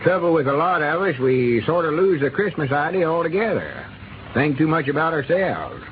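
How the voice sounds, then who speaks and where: An actor playing a kindly old Arkansas country codger with a folksy rural Southern drawl in a 1930s radio drama. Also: male voice, on the high side, big pitch swings, normal speed. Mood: earnest, warm. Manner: folksy, plain-spoken rustic drawl. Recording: noisy, muffled 1930s radio recording.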